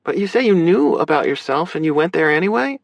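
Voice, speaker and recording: A man's voice: a little high, big pitch swings, fast talker, creaky, no room sound, voice pushed up. A man voicing a caring, frank adult big sister in an audiobook, speaking with earnest, reassuring emotion. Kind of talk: audiobook character dialogue.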